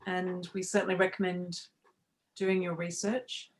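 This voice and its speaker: A woman's voice: on the low side, level tone, unhurried. A middle-aged woman hosting a live online webinar as a coach trainer, speaking over a video call in a welcoming way with frequent restarts. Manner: welcoming, talks with many fillers and restarts.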